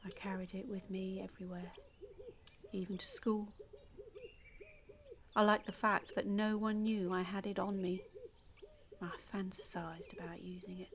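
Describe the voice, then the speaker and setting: An actress playing a damaged, bitter woman in an audio play monologue, confiding and quietly menacing, often dropping close to a whisper. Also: medium pitch, little pitch movement, measured pace, long pauses, close to an everyday voice. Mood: dark, menacing.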